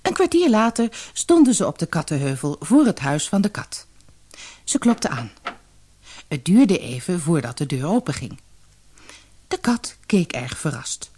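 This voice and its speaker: A woman's voice: fairly low pitch, very animated, unhurried, dark tone, slightly rough. A woman narrating a scripted fairy tale audiobook as a storyteller.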